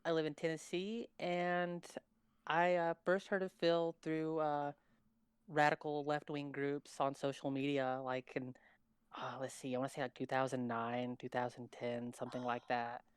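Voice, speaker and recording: Late-twenties woman, deep smooth voice, laid-back hesitant podcast guest. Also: little pitch movement, no echo. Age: 20s. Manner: unhurried, hesitant with fillers. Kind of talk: casual chat.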